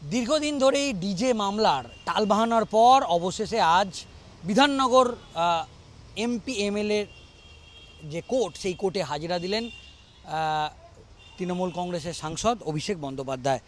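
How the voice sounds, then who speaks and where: Man, news reporter, very high-pitched voice, halting unscripted delivery, repeats phrases. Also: expressive, slight background noise.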